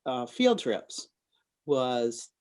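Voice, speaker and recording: A woman's voice: very deep voice, very animated, very slow, darkish tone. A woman in her sixties from Michigan with an American accent, reminiscing in a group interview. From Michigan, United States. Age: 60s. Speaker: scout troop member.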